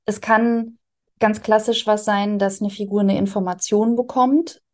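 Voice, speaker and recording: A woman explains her craft in an interview. Her voice is mellow and relaxed, at a middling pitch, and she talks as a working author. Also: unhurried. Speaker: interviewed radio drama author.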